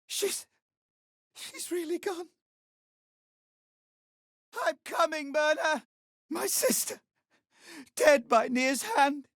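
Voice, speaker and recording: A desperate gnome woman from a fantasy video game pleads with raw emotion. Her voice is high and swings widely in pitch, with slow, anguished phrasing. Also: no room sound, clean studio sound, full character voice. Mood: grieving. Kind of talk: video game character dialogue.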